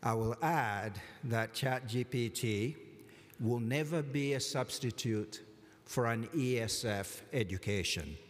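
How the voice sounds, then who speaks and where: A man giving a formal commencement address from a lectern, measured and deliberate with touches of dry humor. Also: mid-range voice. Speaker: college commencement speaker. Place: large hall at a lectern, indoors. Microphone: lectern microphone. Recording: long hall reverb.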